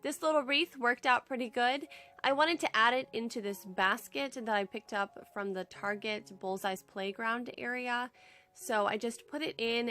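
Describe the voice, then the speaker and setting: A woman's voice: mid-range voice, bright, forceful tone. A young woman with a head cold, chatting in a friendly, upbeat way as she narrates a casual home decor how-to vlog from home.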